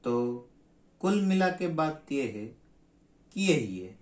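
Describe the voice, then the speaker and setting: Slow, ceremonious helpline man, acted out by a man. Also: on the high side, sing-song. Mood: patient, unhurried. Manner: drawn-out, pausing, formal and polite. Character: slow, unhurried male counselling helpline agent, comically long-winded. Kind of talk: comic stage skit acting. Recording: roomy, echoing sound.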